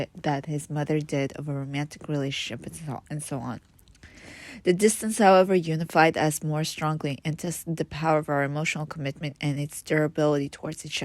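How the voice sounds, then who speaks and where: A woman from Israel, a Hebrew speaker, reading her own memoir in English in an earnest, reflective first-person style with occasional restarts. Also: low-pitched.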